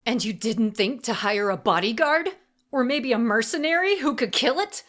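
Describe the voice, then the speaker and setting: A woman voicing a menacing, commanding female assassin with a sharp, sneering delivery, in an audiobook. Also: mid-range voice, lively intonation, measured pace, natural voice. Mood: furious. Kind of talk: audiobook character voice.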